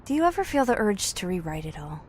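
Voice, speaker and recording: An actress playing a timid, wistful young maid in a video game, speaking in a cautious, gently teasing way. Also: female voice, medium pitch, sing-song, fast talker, slight background noise, close to an everyday voice. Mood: wistful, curious. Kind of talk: video game cutscene acting.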